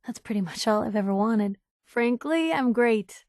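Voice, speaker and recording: Plucky young woman reporter, video game dialogue, higher-pitched voice, sassy, wry, teasing, warm-hearted, close dry recording. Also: animated, normal speed, dry recording. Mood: upbeat, teasing. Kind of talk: video game voice acting, conversational dialogue lines.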